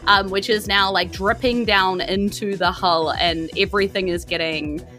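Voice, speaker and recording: A woman game master improvising narration for a tabletop game, with animated storytelling and halting asides. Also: medium pitch. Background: faint music.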